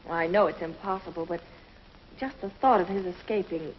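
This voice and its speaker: A woman's voice: on the low side, brisk, a little hiss or hum, natural voice. An actress playing an earnest, capable young woman in a 1950s TV sci-fi drama, on a dull, roomy lo-fi soundtrack. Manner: earnest, concerned.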